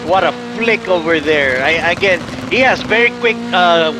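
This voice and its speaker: A man with a Filipino accent co-hosting a sim rally stream, halting and self-correcting. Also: high voice, expressive. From Philippines. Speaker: co-host and steward. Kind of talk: live esports commentary. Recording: clear background noise.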